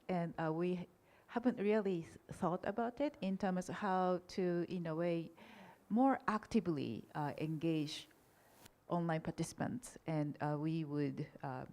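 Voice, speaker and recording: A woman whose first language is Japanese, answering audience questions at an academic conference in a polite, measured way with frequent fillers. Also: lowish voice, warm tone.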